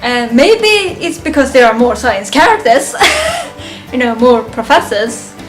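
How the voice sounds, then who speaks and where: A woman giving a casual, unscripted fan review of a ranked list, halting and thinking aloud, often chuckling. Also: fairly high pitch, expressive, soft background music.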